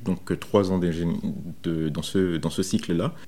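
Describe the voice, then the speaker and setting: A man in his thirties from Benin recounts his career informally, speaking in a halting way with frequent repetitions. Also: deep voice, steady tone, dark tone. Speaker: software developer interviewed about his career. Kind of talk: informal first-person career account.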